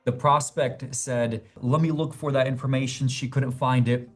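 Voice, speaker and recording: A man working as a sales trainer, giving measured, explanatory instruction. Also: medium pitch, fairly even intonation. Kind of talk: instructional narration.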